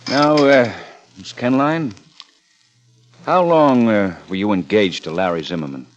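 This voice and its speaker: An actor playing a calm police lieutenant in a 1950s radio drama, questioning with casual, deliberate pauses. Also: male voice, lowish voice, lightly acted. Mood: probing. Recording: dull vintage radio, faint music.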